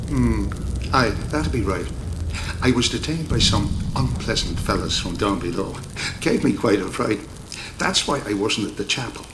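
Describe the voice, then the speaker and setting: An actor playing a caring, gruff Irish priest in a video game, folksy and wry as he fusses and scolds. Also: lowish voice, expressive, normal speed, echoey room, background noise, close to an everyday voice. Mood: wry, reassuring. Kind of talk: video game cutscene acting.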